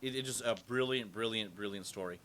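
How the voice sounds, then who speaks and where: A man hosting a casual podcast chat, animated and swearing casually as he talks. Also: on the low side, a little fast. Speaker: podcast host.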